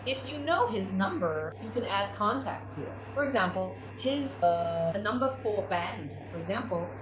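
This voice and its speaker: A woman giving a step-by-step spoken product tutorial as a demonstrator, with halting, repetitive phrasing. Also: mid-range voice, lively intonation, crowd noise. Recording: clearly noisy room ambience.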